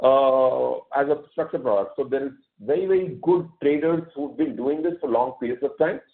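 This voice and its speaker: Male executive, Indian accent, mid pitch, polite and emphatic explainer on a dull conference line. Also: normal speed. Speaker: company executive answering investor questions. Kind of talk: earnings call answers, unscripted explanation. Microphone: conference call line.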